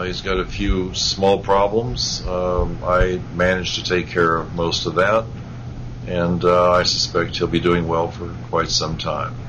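Man, very deep voice, slight kentucky accent, slow weary speech, tired. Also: muffled recording, background noise. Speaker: show guest.

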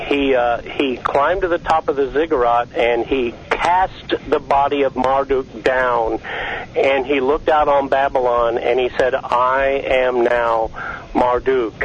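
A man from Georgia calling in to a talk show, speaking slowly with many fillers and pauses. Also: medium pitch, muffled recording, slight background noise. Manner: slow, halting, many fillers, flattering. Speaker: caller. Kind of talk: call-in radio talk.

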